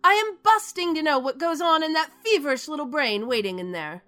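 A woman acts out a mature stage diva with a high, swooping voice and a bright, biting edge. She is sardonic and grandly dramatic, in radio play style, with faint music behind her. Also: animated, brisk. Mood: wry. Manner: sardonic, declamatory. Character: theatrical sharp-tongued mature stage actress, biting and dramatic. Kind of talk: audio drama acting.

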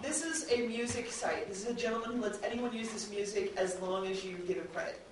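A woman speaking as a podcast producer on a panel, chatting unscripted to an audience in an animated way. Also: mid-range voice, quick pace. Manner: chatty, animatedly mimics others in quoted speech. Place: convention panel room with an audience. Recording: very echoey room, distant mic, faint noise.

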